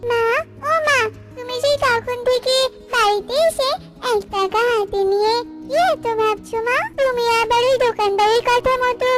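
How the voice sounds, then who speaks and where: A woman's voice: extremely high pitch, fairly even intonation, normal speed, pauses often, over loud music. A woman voicing an eager little daughter bird in a children's cartoon, childlike and wheedling. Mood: excited, curious. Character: eager, pleading little daughter bird who loves snacks.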